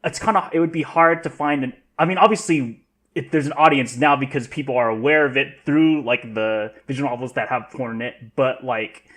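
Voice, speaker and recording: A man chatting casually as a podcast panelist, rambling and halting as he repeats himself. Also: mid-range voice, fairly bright tone. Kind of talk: casual chat.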